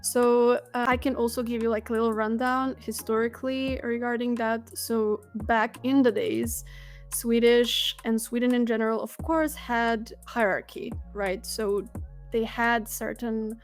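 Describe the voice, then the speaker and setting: A young adult woman, a language teacher, explaining things in a lively way and laughing easily in a casual interview. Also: a little high, measured pace, soft background music. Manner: lively explainer, laughs while telling anecdotes. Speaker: Swedish teacher, guest.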